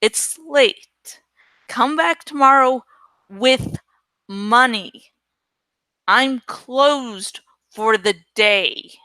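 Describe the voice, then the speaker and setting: A woman voicing a gruff, surly, calculating male village butcher in a storybook reading, curt and impatient. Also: on the high side, drawn-out words, long pauses, pushed and bright, dry recording, full character voice, rough recording.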